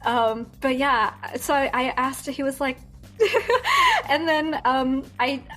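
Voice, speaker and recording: A woman's voice: high voice, sing-song, takes it slowly, bright, forceful tone, faint music behind. A young American woman chatting as a guest singer in an interview, talking with lots of fillers, restarts and giggles. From United States. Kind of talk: casual interview chat.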